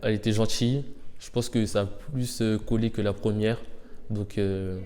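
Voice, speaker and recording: Low-voiced man, hesitant verdicts. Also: fairly even intonation, warm tone, big-room sound. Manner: hesitant, many pauses and "euh". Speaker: dater giving his verdict. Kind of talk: casual to-camera comments.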